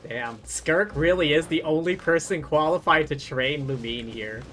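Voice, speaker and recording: A man's voice: a little high, moderate pace, a bit bright, faint music behind. A man streaming a video game, giving casual live commentary, musing aloud in a jokey way.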